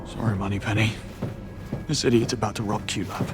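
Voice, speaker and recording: A man's voice: fairly low pitch, level tone, normal speed, natural voice. A man playing a defiant, reckless young British secret agent in a cinematic game, with a cool, clipped delivery. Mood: cool, casual. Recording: loud music behind the voice.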